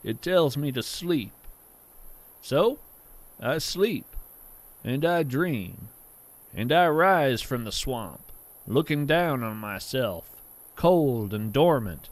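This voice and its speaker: A man playing a genial, whimsical naturalist who hosts a fiction podcast, narrating in a gentle, earnest, literary manner. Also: medium pitch, lively intonation, no room sound. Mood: hushed, contemplative. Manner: measured, gentle, earnest delivery with florid wording. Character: genial, whimsical male naturalist hosting strange creatures by campfire.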